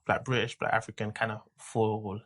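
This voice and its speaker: A man in his thirties from the UK, a podcast guest talking casually and haltingly, with many restarts and fillers. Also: on the low side, flat delivery, fairly bright tone. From United Kingdom. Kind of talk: casual conversational interview.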